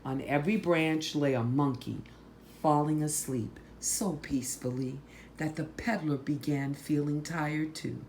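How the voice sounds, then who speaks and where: A woman reading a picture book aloud to children, phrasing deliberately and stressing words for drama. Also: low-pitched, a little mellow, a little hiss or hum. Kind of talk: slow, expressive picture-book read-aloud.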